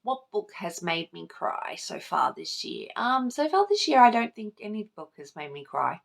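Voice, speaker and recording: A woman's voice: mid-range voice, very animated, moderate pace, creaky. A woman talking casually to camera as a vlogger, informal and sweary, gushing over things she loves. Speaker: book vlogger, channel host. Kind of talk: casual chat to camera, book tag video.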